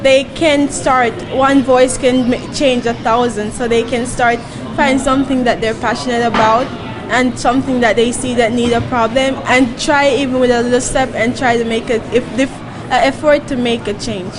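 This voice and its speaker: A woman's voice: on the high side, steady tone, moderate pace. A young woman from Jamaica, a volunteer answering interview questions earnestly and searching for words. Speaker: volunteer guest. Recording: noisy crowd around.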